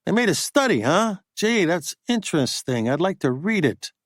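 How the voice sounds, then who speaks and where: A man's voice: high voice, very animated, normal speed, no echo, studio quality, voice pushed up. Man doing an eager, chummy hanger-on.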